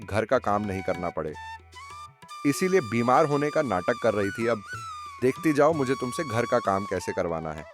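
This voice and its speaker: Cartoon farmer husband, male actor: shrewd, theatrical, mid-pitched with huge melodic swings. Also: wildly swooping pitch, moderate pace, music in the background. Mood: scheming. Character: shrewd farmer husband feigning injury, patient. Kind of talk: Hindi moral story cartoon dialogue.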